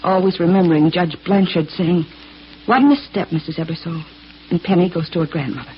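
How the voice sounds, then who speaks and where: A woman's voice: fairly low pitch, brisk, natural voice. An actress playing a troubled, earnest young mother in 1940s radio melodrama style. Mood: defensive, anguished. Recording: dull, noisy, crackling vintage radio recording.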